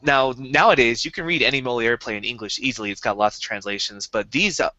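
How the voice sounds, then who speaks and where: Young male podcast co-host, eager rambling explainer, crisp bright tone, dry dull recording. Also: mid-range voice, quick pace, no room sound. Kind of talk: casual chat.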